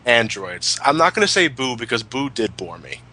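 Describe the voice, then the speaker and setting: A man's voice: medium pitch, big pitch swings, normal speed, sharp tone, poor audio. A man in a casual fan discussion, ranting emphatically and swearing freely. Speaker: commentary show host.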